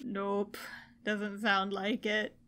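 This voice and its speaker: A woman's voice: medium pitch, completely flat delivery, very slow, a bit bright. A woman co-hosting a podcast, reacting in casual, playful banter.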